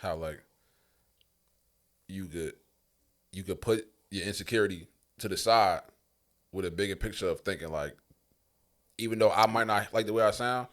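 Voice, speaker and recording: A man with a deep voice bantering casually as a podcast co-host in a slangy, teasing way. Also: normal speed, pauses often, a bit bright.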